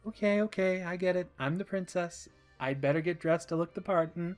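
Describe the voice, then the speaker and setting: A man's voice: high voice, fairly quick, faint music behind, pitched above the performer's own voice, natural voice. A man voicing a teasing, affectionate mother in a story, playfully melodramatic. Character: teasing, affectionate mother playing a helpless princess.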